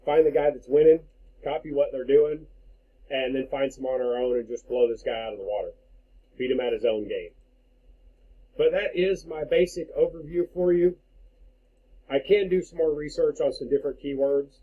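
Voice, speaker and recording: Man, marketing consultant, husky voice, conversational explainer, informal screen-share walkthrough, slightly muffled lo-fi recording. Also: medium pitch, normal speed. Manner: conversational, explanatory, plain-spoken.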